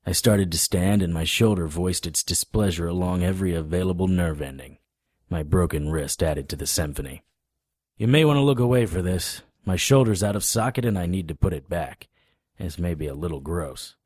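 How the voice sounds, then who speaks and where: A weary supernatural investigator in a noir-like audio drama. His voice is low and husky, with dry, wry delivery and a very close, dry sound. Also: normal speed, a little mellow, no echo. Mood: wry, pained, then dry, matter-of-fact. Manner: dry, wry first-person narration.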